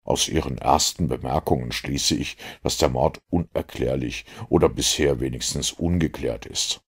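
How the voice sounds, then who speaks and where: Guy reading as a superior lecturing detective, super deep and creaky, measured audiobook delivery. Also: exaggerated voice. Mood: analytical. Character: self-assured, lecturing male master detective. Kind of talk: audiobook character dialogue.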